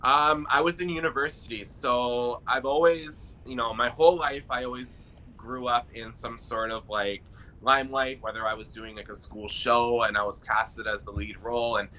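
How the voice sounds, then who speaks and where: Bright-voiced young man rapping about his start. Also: mid-range voice, steady tone, normal speed, a little hiss or hum, poor audio. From Toronto, Canada. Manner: easygoing and enthusiastic, repeats words as he thinks. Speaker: guest rapper. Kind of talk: casual interview chat.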